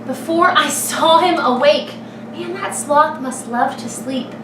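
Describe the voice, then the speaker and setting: A woman reading a picture book aloud to young children as a kindergarten teacher, expressively, with dramatic pauses and thinking aloud as she asks the listeners questions. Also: high voice. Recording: echoey room, distant mic, clear background noise.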